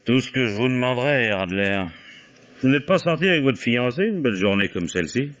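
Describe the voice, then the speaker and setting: An actor playing a shrewd, unhurried police commissioner in a French radio mystery, courteous and probing. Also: male voice, mid-range voice, expressive, fairly bright tone, lightly acted. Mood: probing, dry. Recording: dull old radio recording.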